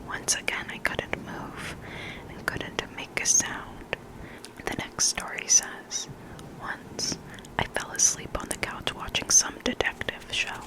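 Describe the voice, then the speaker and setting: A person whispering scary stories in an ASMR reading style, close to the microphone, sometimes repeating words. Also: poor audio. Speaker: ASMR reader of listener stories. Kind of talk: whispered ASMR storytelling, reading creepy anecdotes.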